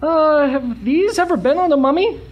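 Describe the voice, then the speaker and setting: Lady voicing a young worried sidekick, kinda high, skeptical, radio comedy. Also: expressive, moderate pace, muffled recording, heavy background noise. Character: Billy, young, skeptical, nervous sidekick of heroine. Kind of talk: comic radio drama acting.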